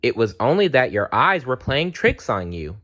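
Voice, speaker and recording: A man voicing a friendly, gentle male storybook bear who talks in a folksy, reassuring way. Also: mid-range voice, sing-song, normal speed, dull audio, voice pushed up.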